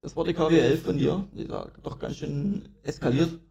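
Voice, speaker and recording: A man's voice: fairly high pitch, moderate pace, gravelly, warm tone, lo-fi sound. A young man co-hosting a casual podcast chat, swearing often and laughing a lot. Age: young adult. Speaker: podcast co-host.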